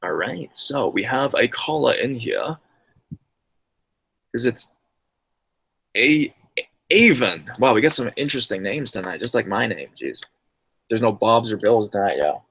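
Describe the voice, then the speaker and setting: A man's voice: mid-range voice, animated, measured pace, vocal fry, pauses often, a bit bright, husky, dry recording. A young man with an ordinary mid-range voice reads scary stories from viewers and then slips into loose, slangy live-stream chatter. His voice sounds close and dry, with no room around it. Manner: slangy, loose and chatty, calls listeners bro and dude. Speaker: horror story narrator and live streamer.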